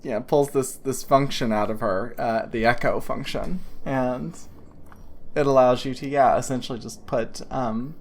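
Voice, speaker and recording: A woman's voice: a bass voice, steady tone, unhurried, darkish tone. A woman narrating as game master in a tabletop game, talking loosely with many fillers and restarts. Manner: loose, hesitant, full of fillers.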